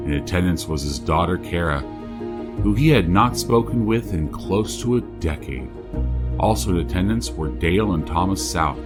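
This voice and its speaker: A man playing a true-crime podcast host, delivering scripted narration in a measured, deliberate way. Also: low voice. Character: male true-crime podcast host, solemn small-town investigator.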